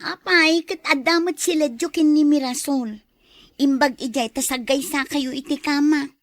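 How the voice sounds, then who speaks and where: A woman's voice: sits high, moderate pace, no room sound, clean studio sound. A woman playing a studious, slightly impatient older sister in an Ilocano radio drama. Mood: mildly impatient.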